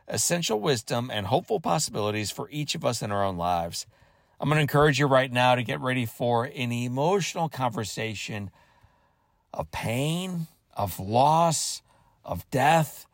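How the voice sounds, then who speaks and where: A man's voice: mid-range voice, dry recording, studio quality. Earnest motivational male podcast host. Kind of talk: inspirational podcast hosting and ad reads.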